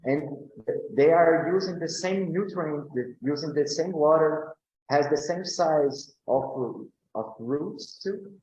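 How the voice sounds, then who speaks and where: Slow, halting man in a roomy hall. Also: a little high, a little mellow, slight background noise. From Brazil. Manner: slow and halting, many fillers and restarts. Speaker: agroecology speaker. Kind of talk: panel talk answering questions. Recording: roomy, dull recording.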